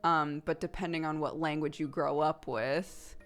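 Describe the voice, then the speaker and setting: A woman's voice: sits low, steady tone, moderate pace, soft background music. A woman in her thirties, a streamer chatting casually and rambling while playing a video game, laughing often.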